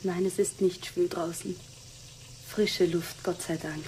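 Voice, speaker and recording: A woman's voice: fairly low pitch, steady tone, takes it slowly, darkish tone, a little hiss or hum, poor audio. A woman playing an insistent, dismissive mother of a grown daughter in a radio drama. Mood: brisk, decided. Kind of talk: German radio drama acting.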